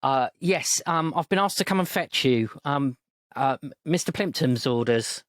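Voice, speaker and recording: A man's voice: mid-range voice, expressive, normal speed, no echo, clean studio sound, natural voice. A man voicing a nervous chauffeur who is overly polite and stumbles over his own name. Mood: flustered. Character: nervous, fumbling male chauffeur.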